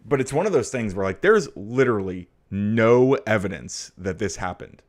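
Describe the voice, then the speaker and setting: A man's voice: fairly low pitch, lively intonation, normal speed. A young American man hosting a livestream, chatting casually about politics and answering viewer comments, rambling with lots of fillers and getting blunt and profane. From United States. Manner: rambling and hesitant, full of fillers and restarts; blunt and profane when riled. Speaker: livestream host.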